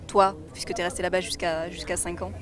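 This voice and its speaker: A woman interviewer asking brisk, friendly questions at a festival. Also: lowish voice, little pitch movement, music in the background. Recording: crowd noise behind.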